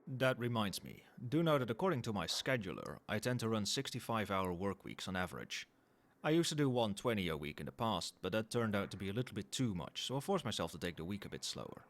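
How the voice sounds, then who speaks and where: A man playing a cold, professional company boss in an ASMR roleplay, speaking in a formal, measured, quietly demanding way. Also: fairly low pitch, very animated. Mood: firm, businesslike. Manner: formal, measured, businesslike, faintly suggestive. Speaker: voice actor in an audio roleplay. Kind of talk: ASMR roleplay, one-sided dialogue to the listener.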